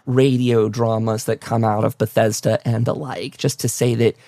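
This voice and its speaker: A man's voice: medium pitch, level tone, moderate pace, vocal fry, warm tone, dry recording. A man hosting a conversational interview, asking questions in a polite, roundabout way. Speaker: talk show host.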